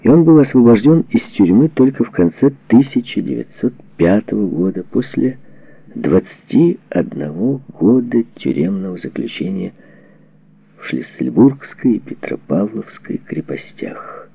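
A man reading a memoir as a scripted audiobook narration, with measured, dramatic phrasing and expressive delivery. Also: on the low side, vocal fry, husky, no room sound. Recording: dull, muffled lo-fi audio.

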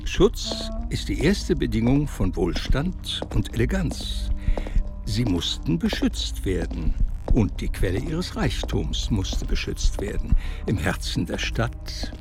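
A man's voice: medium pitch, big pitch swings, slow, music in the background. A man telling a literary story as a scripted radio drama narrator.

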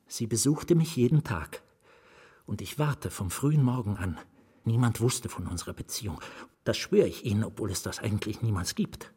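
Husky haunted writer, confiding intimately. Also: male voice, lowish voice, a little mellow, exaggerated voice. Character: haunted nameless male writer confiding his lost love. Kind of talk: German radio drama monologue.

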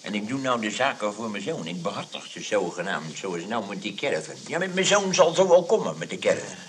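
A man's voice: lowish voice, very animated, a little fast. An actor playing a boastful old father posing as a lawyer, hesitant and repeating himself, in a comic radio play. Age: older. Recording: faint noise, old radio play recording.

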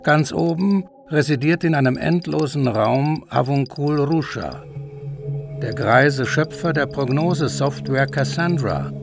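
A man narrating a scripted science-fiction radio drama in a measured, sober manner. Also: medium pitch, vocal fry. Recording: music under the narration.

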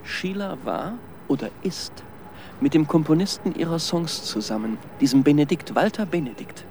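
Ein Schauspieler spielt in einem Krimihörspiel einen lockeren Ermittler, trocken-ironisch und umgangssprachlich. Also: male voice, a little high, expressive, moderate pace, creaky, darkish tone, slight background noise. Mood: wry, casual. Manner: wry, colloquial. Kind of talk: crime radio drama acting.

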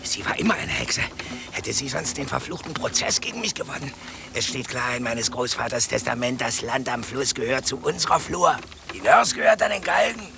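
A gravelly, vindictive landowner, makes his accusations vehemently with animated swings of pitch. It is an old radio drama street scene with clear background noise. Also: a little fast. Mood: vengeful. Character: vengeful, grudge-bearing male landowner calling for hangings. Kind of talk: 1950s German radio play acting.

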